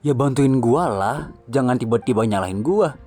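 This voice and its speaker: A man's voice: mid-range voice, lively intonation, a little fast, a little mellow. A man with a colloquial Jakarta accent giving casual gameplay commentary. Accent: Indonesian, colloquial Jakarta. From Indonesia. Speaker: gameplay streamer. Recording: game music behind voice.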